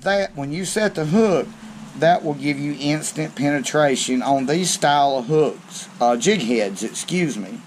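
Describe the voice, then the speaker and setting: Slow, folksy man. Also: a little high, noisy background. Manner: slow, folksy, down-home talk with frequent "okay". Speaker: fishing channel host. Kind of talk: hands-on tackle demonstration. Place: covered outdoor porch or garage on a rainy day.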